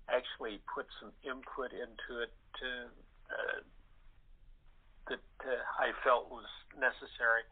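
Halting man on a thin phone line. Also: mid-range voice. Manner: halting, plain-spoken. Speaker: director. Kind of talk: casual interview chat. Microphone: telephone or internet call. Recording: thin telephone-like sound.

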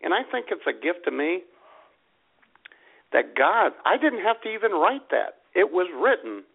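A man's voice: high-pitched, big pitch swings, normal speed, gravelly, husky. A man co-hosting a podcast, chatting casually, teasing his partner and laughing often. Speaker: podcast co-host. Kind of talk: casual chat. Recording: tinny phone-like sound.

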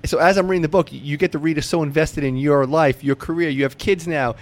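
A man's voice: a little high, fairly even intonation, fast. A man hosting a casual, chatty interview, informal and slangy, circling back to rephrase his questions. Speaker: interviewer, podcast host.

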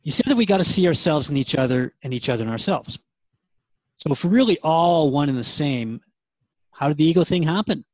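A man's voice: on the high side, expressive, brisk, darkish tone, dry recording, dull audio. A man playing a curious human radio interviewer, informal and slightly bemused, in a conversational drama. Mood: puzzled, curious.

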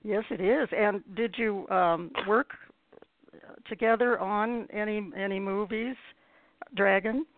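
A woman hosting a casual interview show, putting questions in a hesitant, halting way. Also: medium pitch, fairly even intonation, dry recording. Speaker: radio show host. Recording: dry, phone-like narrow-band audio.

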